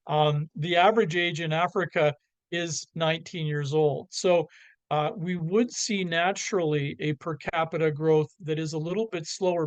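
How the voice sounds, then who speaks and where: A man with a Canadian accent giving a slide presentation, halting with many fillers and restarts. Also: a little high, dry recording.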